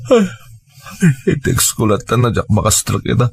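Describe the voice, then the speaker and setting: Animated, grumbling Ilocano father played by a man. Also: on the low side, moderate pace, creaky, breathy edge, no echo, clean studio sound, heavily acted. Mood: groggy grumbling. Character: hungover, hard-drinking construction worker father, grumbling and defensive. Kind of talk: Ilocano audio drama acting.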